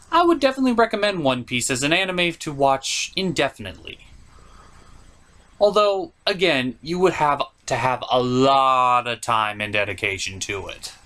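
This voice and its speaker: A man's voice: mid-range voice, bright, forceful tone. A man delivering comedic scripted review commentary as host, in a theatrical, opinionated manner.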